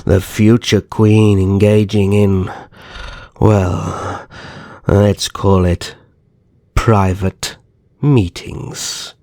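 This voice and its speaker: A man playing a sly, sadistic vampire naga kidnapper in an ASMR roleplay, speaking with mock courtesy and slow theatrical menace. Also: fairly low pitch, flat delivery, dark tone, dry recording, studio quality. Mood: smug. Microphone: close microphone, dry.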